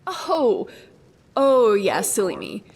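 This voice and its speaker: A highly animated young woman companion played by an actress in a fantasy game. She is playful, evasive and excitable, with a sulky edge. The voice is fairly high and sits in long reverb. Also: takes it slowly. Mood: evasive, grudging. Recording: noisy, long hall reverb.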